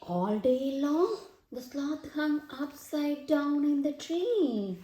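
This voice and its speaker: A person reading a children's picture book aloud with slow, deliberate, expressive phrasing in an echoey room. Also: high voice. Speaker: storybook reader. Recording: roomy, echoey sound.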